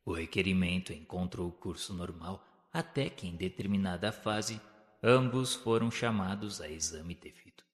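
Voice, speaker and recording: A Brazilian man narrates a spiritual audio novel in a solemn, formal, measured storytelling manner. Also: sits low. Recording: long hall-like reverb.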